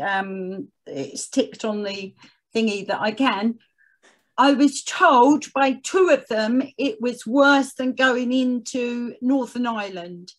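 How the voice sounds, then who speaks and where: A woman with a fairly high voice speaks as an interview guest. She tells stories in a reflective, halting way, taking her time at a slow pace. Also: low-quality recording. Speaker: podcast guest, charity chief executive. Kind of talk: casual reflective storytelling in an interview.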